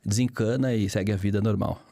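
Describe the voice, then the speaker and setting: A man's voice: lowish voice, fairly even intonation, fairly quick, vocal fry, dark tone, no room sound. A man aged fifty with a Brazilian accent, a guest teacher explaining things conversationally with examples and repetition. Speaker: guest, public speaking teacher.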